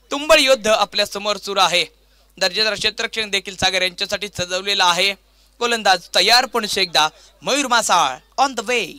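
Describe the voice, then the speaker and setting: Male cricket commentator, Maharashtrian accent, very sharp forceful tone, dry close sound, grand dramatic play-by-play. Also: high-pitched, dry recording. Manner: grandiose, dramatic phrasing with repeated stock lines. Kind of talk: live play-by-play sports commentary.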